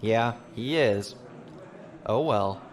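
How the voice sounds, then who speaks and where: A man's voice: on the low side, drawn-out words. A man playing a dutiful, resigned starship captain with an understated manner in an audio drama. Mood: resigned, calm.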